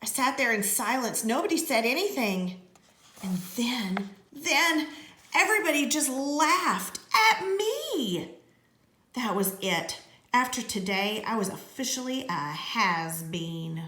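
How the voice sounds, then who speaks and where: In a roomy, echoing space, a woman reads a picture book aloud for children. Her pitch swings widely and she takes her time, leaving dramatic pauses as she tells it. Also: expressive, bright, forceful tone. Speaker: read-aloud storyteller.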